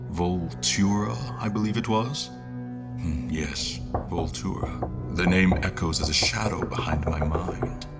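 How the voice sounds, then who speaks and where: A man narrating a fantasy audio drama as a reflective historian, in a literary, deliberate, measured way. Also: low voice. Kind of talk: scripted first-person story narration.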